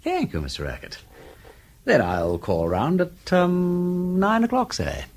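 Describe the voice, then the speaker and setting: An actor playing a flippant, inquisitive aristocratic detective in a British radio drama, with an upper-class English accent and a breezy, foppish, rambling politeness. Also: male voice, sits high, huge pitch swings, unhurried, soft background music, full character voice. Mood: politely nosy.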